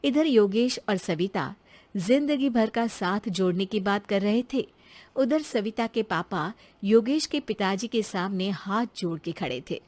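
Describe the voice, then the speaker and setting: A woman's voice: mid-range voice, lively intonation, unhurried, a little mellow. A woman reading scripted narration for a cartoon story as its narrator.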